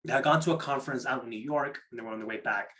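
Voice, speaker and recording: A man's voice: mid-range voice, very fast, bright, forceful tone. A man presenting a workshop in a conversational, explanatory way, often repeating words as he restarts and checking in with short tag questions. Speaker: program manager presenting a workshop. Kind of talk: interactive online workshop talk on pitching.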